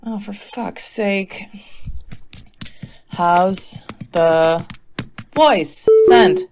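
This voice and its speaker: A woman's voice: mid-range voice, drawn-out words, very noisy. A woman playing a tired, sensible teacher in a comic phone-call sketch, dry and exasperated.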